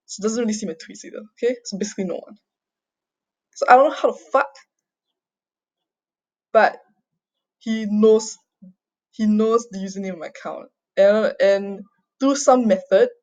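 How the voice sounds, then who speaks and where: A woman's voice: medium pitch, dark tone. A young woman from Singapore speaks in a middle register on a muffled, lo-fi recording. She talks off the cuff in an agitated, distressed confessional, stopping and restarting, repeating phrases, swearing and often checking in with a short tag question. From Singapore, raised mostly overseas. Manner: halting, repetitive, sweary, often tags phrases with "okay?". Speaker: vlogger giving a personal account. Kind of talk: unscripted confessional monologue. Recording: dull lo-fi home recording.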